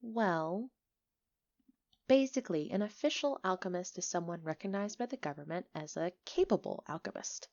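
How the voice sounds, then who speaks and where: A woman playing a friendly, chatty, excitable village alchemist in an ASMR roleplay, expressive and a little flustered, on a slightly dull recording. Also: fairly low pitch, moderate pace. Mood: eager, explaining. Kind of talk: ASMR roleplay acting, one-sided conversation.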